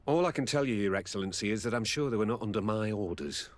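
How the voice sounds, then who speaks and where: An actor playing a guarded army lieutenant in a video game, speaking in a formal, deferential way. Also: male voice, lowish voice, quick pace, natural voice. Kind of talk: dramatic video game dialogue.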